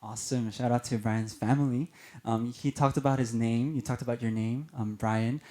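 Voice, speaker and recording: A man's voice: medium pitch, steady tone, normal speed, darkish tone. A man co-hosting a live talk show, asking upbeat questions to prompt stories. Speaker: co-host interviewer.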